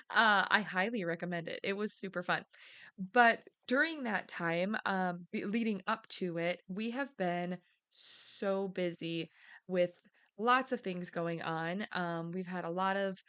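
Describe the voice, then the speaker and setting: A woman in her thirties hosting her podcast in a chatty, upbeat way, her voice raspy because she has partly lost it. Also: mid-range voice. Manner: upbeat and chatty, with fillers and restarts; energetic scripted intro. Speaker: podcast host. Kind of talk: casual solo podcast talk.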